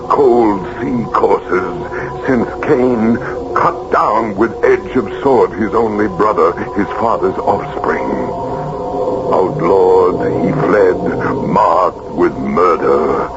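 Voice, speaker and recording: An actor in an old-time radio drama playing a troubled, fragile writer haunted by guilt, speaking in a measured, literary, brooding way. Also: male voice, low-pitched. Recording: dull, noisy vintage radio broadcast.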